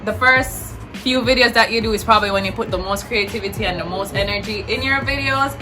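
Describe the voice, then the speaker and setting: A woman's voice: medium pitch, fairly quick, music in the background. A young woman from Jamaica with a Jamaican accent, chatting casually to camera as a vlogger and repeating words for emphasis. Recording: roomy echo.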